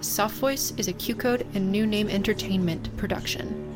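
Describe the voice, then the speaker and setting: A woman with a mid-pitched voice reads scripted credits as an announcer. Music runs clearly behind her. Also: normal speed.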